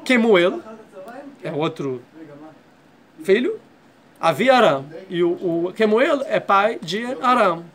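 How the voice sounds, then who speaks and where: Man teaching a torah class, high voice, patient, walks through each point. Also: expressive, takes it slowly, pauses often, fairly bright tone. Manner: explains step by step, checks in with "tá?". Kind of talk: classroom lecture.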